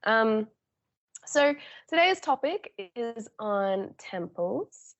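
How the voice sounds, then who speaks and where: A woman's voice: on the high side, big pitch swings, very slow. Stuffed-up woman hosting a podcast. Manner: friendly, hesitant with many ums. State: congested from a cold. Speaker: podcast host. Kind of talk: casual podcast chat.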